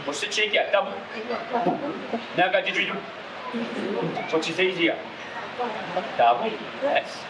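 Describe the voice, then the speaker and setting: A man's voice: high voice, fairly even intonation, a little slow, long pauses. A man teaching didgeridoo to a live audience, playfully drilling sounds over and over. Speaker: didgeridoo instructor. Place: tourist show venue. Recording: roomy, distant from mic, noisy.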